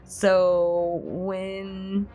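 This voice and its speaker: Slow-talking woman in her twenties. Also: medium pitch, monotone, dark tone. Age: 20s. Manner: candid, rambling storytelling with small chuckles. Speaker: ASMR channel host answering subscriber questions. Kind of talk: casual, chatty Q&A. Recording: music playing behind the voice. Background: instrumental music.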